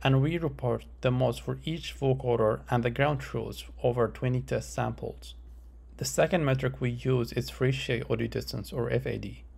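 Creaky-voiced man presenting research. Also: medium pitch, darkish tone. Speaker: researcher presenting a conference paper. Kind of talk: scripted academic presentation narration.

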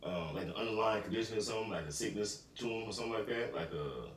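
A man's voice: on the low side, fast, a little hiss or hum. A man in his thirties co-hosting a reaction video at home, talking casually and rambling with lots of fillers. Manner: laid-back, rambling with many fillers. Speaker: reaction channel co-host. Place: bedroom, indoors. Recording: echoey room, mic far off.

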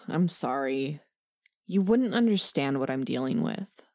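A woman's voice: a bass voice, expressive, slow, darkish tone, exaggerated voice. A woman voicing a snooty, insecure rich preteen girl in a cartoon comic dub, disdainful and whiny. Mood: glum, defensive.

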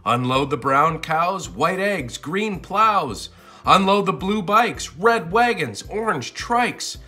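A man reading a children's storybook aloud in an expressive, sing-song and deliberate way. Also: sits high, husky, faint music behind. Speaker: storybook narrator.

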